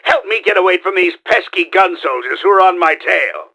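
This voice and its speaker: A bombastic mad-scientist game villain, pitched high with wild, swooping intonation. The gravelly voice is forceful and theatrical. He is pompous and scheming, a middle-aged schemer who performs every line. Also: animated, moderate pace, slight background noise, heavily acted. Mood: bossy, scheming. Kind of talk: exaggerated video game voice acting.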